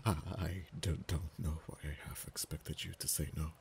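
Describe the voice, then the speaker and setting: A man's voice: rumbling low, steady tone, a little hiss or hum, heavily acted. Man voicing a haughty, tender dragon lover. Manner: soft-spoken, partly whispered, halting stammers. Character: haughty, proud, tender male dragon in human form. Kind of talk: ASMR romance roleplay.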